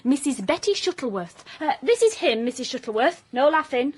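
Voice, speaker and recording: A breezy young Northern English office assistant in radio comedy. Her high voice bounces up and down, flippant and cheeky. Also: sing-song, a little fast. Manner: flippant, offhand. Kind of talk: comic radio drama acting.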